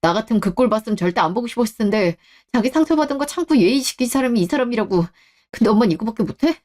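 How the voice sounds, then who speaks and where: A young man voicing a protective husband in a radio drama, speaking in a low, firm and steady way. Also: very fast. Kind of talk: Korean radio drama acting.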